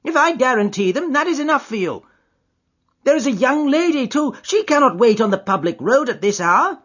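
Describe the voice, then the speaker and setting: A man voicing a nervous, fussy middle-aged gentleman who pleads in a high-strung way in an audiobook. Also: big pitch swings, moderate pace, husky, heavily acted. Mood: pleading. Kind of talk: audiobook character voice. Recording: dull lo-fi audiobook recording.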